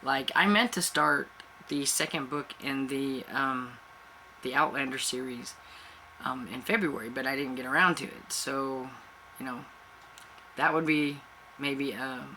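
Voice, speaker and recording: Bright-voiced woman with a stuffy cold. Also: a bass voice, steady tone, a little slow, slight background noise, rough recording. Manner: rambling and chatty, with many fillers and self-restarts. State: stuffy-nosed with a cold, throat dry and raspy. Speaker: book channel host. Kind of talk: casual chat to camera.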